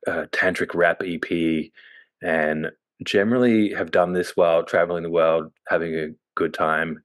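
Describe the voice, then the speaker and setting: Panel guest, hoarse, extremely low and flat voice, dry sound. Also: male voice, no echo. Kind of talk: casual self-introduction.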